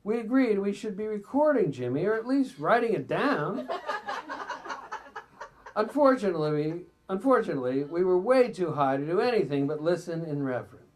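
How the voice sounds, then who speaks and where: A man's voice: high voice, sing-song, normal speed, a little hiss or hum. A man reading excerpts from a memoir aloud at a live reading, sometimes stumbling and stepping out of the text with asides. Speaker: reader at a bookstore event.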